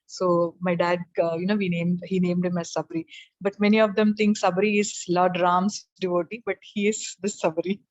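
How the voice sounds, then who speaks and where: Middle-aged south indian woman, telugu first language, flat soft rambling talk, dry muffled video call sound. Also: lowish voice, moderate pace, no echo. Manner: soft-spoken, rambling, with frequent fillers and small laughs. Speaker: guest presenter. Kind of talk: personal testimony on a video call. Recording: dull lo-fi call audio.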